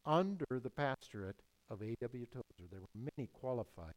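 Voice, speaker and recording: An older man preaching a sermon, stressing key words and repeating phrases, sometimes rising and then dropping to a hush. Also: medium pitch, lively intonation, normal speed, low-quality recording. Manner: emphatic, repeats key phrases, swings between loud and hushed. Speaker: pastor.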